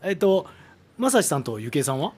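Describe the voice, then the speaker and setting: A man's voice: a little high, sing-song, a little fast, a little mellow, breathy edge. An actor playing an earnest, familiar younger man in a radio drama, moving between dialogue and narration. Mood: friendly, narrating.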